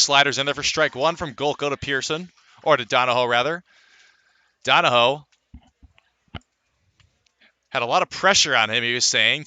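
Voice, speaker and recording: Bright, punchy male baseball announcer. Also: on the high side, clean tone, no room sound. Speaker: radio play-by-play baseball announcer. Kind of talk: live sports play-by-play commentary.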